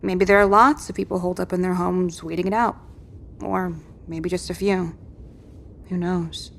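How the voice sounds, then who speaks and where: A woman's voice: on the low side, flat delivery, moderate pace, creaky, fairly bright tone, a little hiss or hum. A woman playing a determined, world-weary apocalypse survivor in an audio drama, speaking in a terse, matter-of-fact and commanding way. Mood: grim, resolute.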